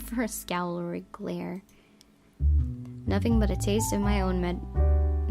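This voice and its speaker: A woman hosting a gaming video, reading visual novel narration aloud in a measured, dramatic way. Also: mid-range voice, vocal fry. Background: game music.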